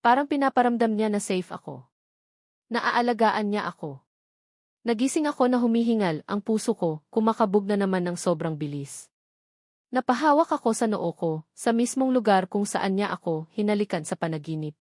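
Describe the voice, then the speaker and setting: A woman's voice: mid-range voice, animated, normal speed, darkish tone, no room sound. Woman, scripted romance narration, dramatic short phrases, mid-range voice, dry close sound. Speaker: story narrator.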